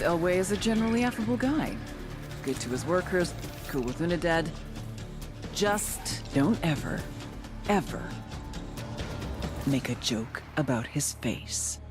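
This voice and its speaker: A tough, foul-mouthed intelligence officer in a game. Her voice is low and her delivery is blunt, wry and intimidating, with music clearly playing behind her. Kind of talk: video game briefing narration and interrogation.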